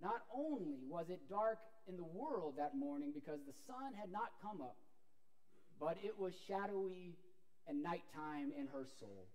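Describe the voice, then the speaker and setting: A middle-aged man preaching a sermon as a pastor, telling an animated story while moving about a church sanctuary. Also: high voice, a little slow, low-quality recording. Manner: animated storytelling with wide gestures, walking about.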